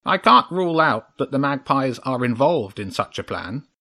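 A man's voice: mid-range voice, big pitch swings, moderate pace, pushed and bright, pitched above the performer's own voice. A man voicing a small, earnest crow investigator in a children's audiobook, careful and deferential in manner. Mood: earnest, cautious.

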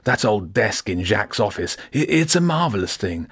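Wheezy, husky old dealer. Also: male voice, on the low side, big pitch swings, a bit bright, no echo, clean studio sound, full character voice. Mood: curious. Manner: slow, old-fashioned, courteous. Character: old, slow, genial furniture auction dealer on the phone.

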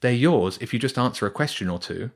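A man's voice: mid-range voice, lively intonation, a little fast, creaky. A man voicing a curious, determined young boy in a children's storybook, asking eager, probing questions.

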